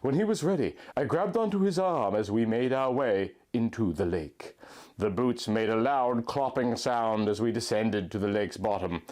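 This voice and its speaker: A man reading fan fiction aloud in a deadpan, overly earnest storybook manner. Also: mid-range voice, moderate pace. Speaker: comic reader of fan fiction. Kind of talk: mock-dramatic reading aloud.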